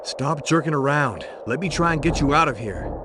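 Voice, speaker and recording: An actor playing a wry, terse and determined investigator hero in a video game. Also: male voice, on the high side, animated, normal speed, noisy background, lightly acted. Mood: urgent.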